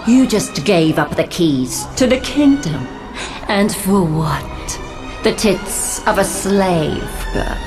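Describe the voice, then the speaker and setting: A woman's voice: on the low side, expressive, slow. An actress playing a scornful, seductive villainous queen of hell with a mocking, imperious manner. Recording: loud music over the voice.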